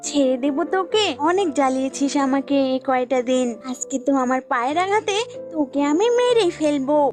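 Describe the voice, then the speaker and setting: A woman's voice: high voice, animated, a little fast, darkish tone. A woman voicing a jealous, sulky pet goat in a cartoon fable, complaining and scolding. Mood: angry, spiteful. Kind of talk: cartoon voice acting in a children's fable. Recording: music bed behind the voice.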